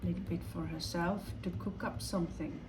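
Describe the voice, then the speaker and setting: A woman from Norway with a Norwegian accent, giving an improvised tarot reading in a halting way, pausing and repeating her phrases. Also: lowish voice, steady tone, music in the background, rough recording. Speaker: tarot reader.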